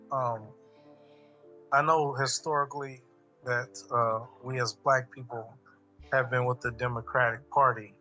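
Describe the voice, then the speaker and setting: A man from the audience asking the panel a question, hesitant and halting. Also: mid-range voice, pushed and bright, low-quality recording. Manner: hesitant, with many pauses and fillers.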